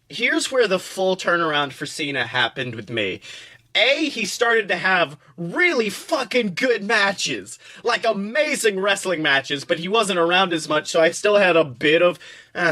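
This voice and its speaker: A man hosting a casual comedic podcast, telling stories in an animated way, swearing freely and acting out quotes. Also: high-pitched, measured pace. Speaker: podcast host.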